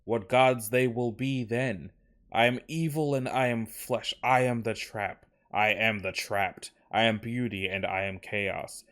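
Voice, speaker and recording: A man plays an anguished, grandiose mechanical being from a horror story. The voice is fairly low and very slow. Each phrase falls as a solemn, chanted confession. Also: a bit bright.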